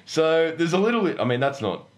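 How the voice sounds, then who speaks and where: An Australian man, hoarse and husky with flu, hosts a casual gaming podcast chat in a jokey, self-deprecating, sweary way. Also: on the high side, very animated, brisk. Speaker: podcast host.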